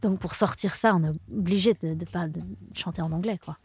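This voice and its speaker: A woman's voice: on the low side, brisk, darkish tone, breathy edge, no echo. A young woman, a singer-songwriter, answering interview questions in a casual, hesitant way with many restarts. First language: French.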